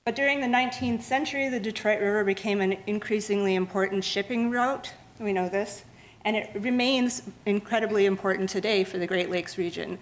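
A woman's voice: medium pitch, brisk. A woman giving a public science lecture in a lecture room, explaining steadily and restarting words often. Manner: steady explanatory pace with frequent restarts and fillers. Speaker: museum lecturer.

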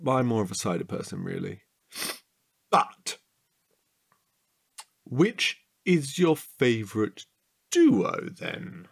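An actor playing a chatty, childlike, curious man who is a bit slow-witted, in a playful comedic audio drama. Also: fairly low pitch, creaky, husky, full character voice. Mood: musing. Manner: playful, excitable, drawn-out delivery.

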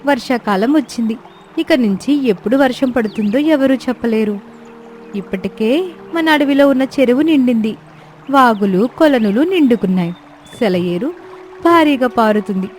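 A woman's voice: a little high, animated, normal speed, darkish tone, a little hiss or hum, lightly acted. A woman voicing a clever, proud, earnest young sparrow in a children's cartoon story, speaking with self-assurance. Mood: earnest, explaining.

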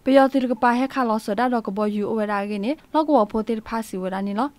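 A woman's voice: a little high, quick pace. A woman presenting radio news, reading from a script.

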